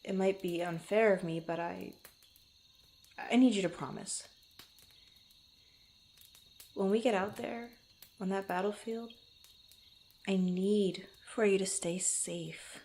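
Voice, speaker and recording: A woman playing a proud but tender young female adventurer in an intimate ASMR roleplay, speaking softly and haltingly. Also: medium pitch. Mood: earnest, pleading. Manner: halting, stammering, soft-spoken with breathy asides.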